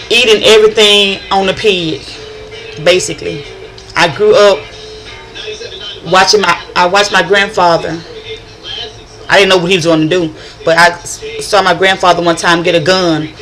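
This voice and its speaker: A woman's voice: on the low side, a bit bright. Woman, middle-aged, northern Louisiana country accent, rambling chatty vlog, restarts sentences. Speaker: vlogger. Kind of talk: casual vlog monologue.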